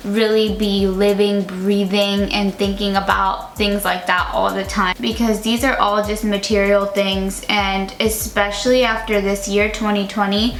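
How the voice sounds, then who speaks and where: A woman in her twenties chatting casually through a makeup tutorial, with a lot of "like" fillers. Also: medium pitch. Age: 20s. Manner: chatty, uses "like" often. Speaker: beauty vlogger. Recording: echoey room with music behind.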